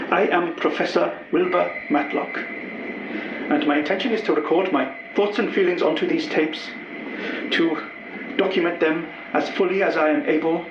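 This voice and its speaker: An actor as a haunted male professor records his secret testimony in an audio drama. His voice is on the high side, grave and halting, as he pleads to be believed. The tape is old, muffled and clearly noisy. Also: roomy sound, natural voice. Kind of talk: 1970s taped confessional monologue, audio drama.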